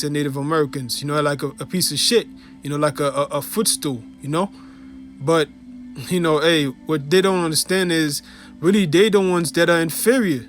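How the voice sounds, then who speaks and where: Male preacher, Haitian accent, somewhat high voice, chatty teaching delivery full of fillers. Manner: conversational, fills with "you know" and "you see". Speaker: religious teacher. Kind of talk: informal preaching video.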